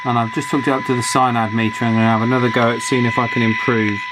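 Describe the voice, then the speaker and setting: A man's voice: medium pitch, little pitch movement, rapid-fire, heavy background noise. A man talking informally and thinking aloud as he gives a casual, hands-on technical commentary. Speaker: electronics hobbyist presenting a repair video.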